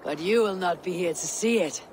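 An actor playing a stern, cunning warrior god in a fantasy video game, speaking in a formal, authoritative manner. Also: male voice, high voice, normal speed, slight background noise, natural voice, poor audio. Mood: commanding. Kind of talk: dramatic fantasy game acting.

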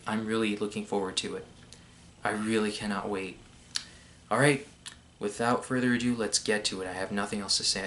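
Young man with a bright, lowish voice. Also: slight background noise. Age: young adult. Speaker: video host reacting to a trailer. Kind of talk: casual reaction vlog. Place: bedroom, indoors.

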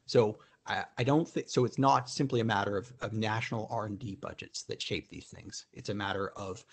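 A man's voice: on the low side, steady tone, brisk, slightly rough. A middle-aged man, a keynote speaker, answering conference questions over a video call from a room at home, thoughtful with frequent restarts and fillers. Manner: thoughtful, many restarts and "you know" fillers.

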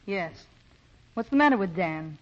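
An actress playing a worldly, hard-boiled con artist, secretly lovelorn, in the style of 1940s radio drama. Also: female voice, lowish voice, expressive, a little slow. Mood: guarded, brisk. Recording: muffled 1940s radio broadcast.

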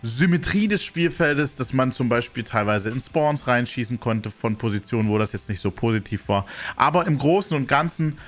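Chatty man with a lively voice. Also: mid-range voice, normal speed. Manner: relaxed, chatty, many filler sounds. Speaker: airsoft channel host. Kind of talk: casual talk to camera. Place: small gaming room indoors. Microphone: studio mic on arm, headset.

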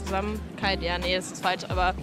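A woman's voice: on the low side, little pitch movement, fairly quick. A nineteen-year-old woman from Munich answering a street interview, hesitant and full of fillers. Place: shopping street at night, outdoors. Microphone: handheld mic held by interviewer. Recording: street with loud music behind.

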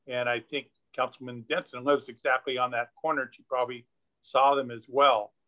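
Councilman with a bright, crisp voice. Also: medium pitch, level tone. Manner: deliberate, pausing with uh. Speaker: city councilmember. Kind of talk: council comments.

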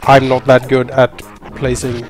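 A man's voice: medium pitch, monotone, unhurried. A man with a Swedish accent, English not his first language, chatting casually and rambling with a self-mocking tone while co-hosting a game let's play. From Sweden. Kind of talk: casual gaming commentary. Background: video game music.

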